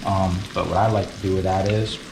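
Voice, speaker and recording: A man from the United States hosting a relaxed, chatty cooking tutorial in his kitchen. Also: deep voice, a little fast, creaky, heavy background noise. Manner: relaxed, chatty, fond of saying beautiful. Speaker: cooking channel host. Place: kitchen with food frying on the stove. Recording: roomy sound, mic set at a distance, sizzling pan.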